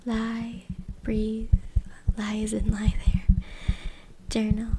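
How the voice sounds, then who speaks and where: A woman whispering breathily and softly in an ASMR style as she reads aloud, close to a USB microphone. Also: fairly high pitch, completely flat delivery, takes it slowly. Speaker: ASMR content creator. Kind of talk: ASMR whispered reading from a book. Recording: very noisy close USB mic, clicks. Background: loud noise, tapping and scratching clicks.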